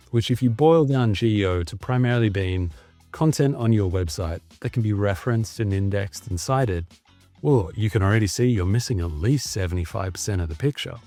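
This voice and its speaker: A man's voice: fairly low pitch, a little mellow. A man with an Australian accent, a guest on a podcast, explaining fluently in a casual conversation over a video call.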